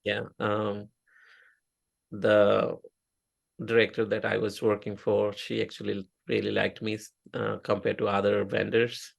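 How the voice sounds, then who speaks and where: A middle-aged man with an Indian accent, a native Oriya speaker, talks through his career in a casual interview. His low, gravelly voice is halting, full of fillers and restarts. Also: completely flat delivery. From India (Odisha). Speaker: interview guest, software engineer.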